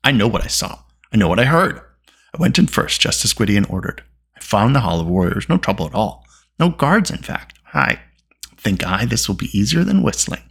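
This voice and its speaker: An irascible little male dwarf from a storybook, as a narrator puts him on. He grumbles and snaps with exasperation. His pitch jumps about in a very lively way. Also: a little fast, vocal fry, husky, exaggerated voice. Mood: exasperated.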